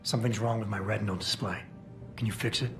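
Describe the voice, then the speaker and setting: Low, curt security chief. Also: male voice, steady tone, fast talker, a bit bright. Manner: terse, dry, sardonic. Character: stoic, curt male security chief, hard-boiled. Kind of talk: video game voice acting. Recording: background music under the voice.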